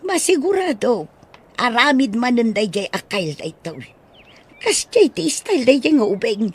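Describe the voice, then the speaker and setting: A woman playing a protective, outspoken older household helper in an audio drama, husky and emphatic, with a Filipino accent. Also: on the high side, very animated, moderate pace. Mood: indignant sympathy. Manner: exclaiming, emphatic.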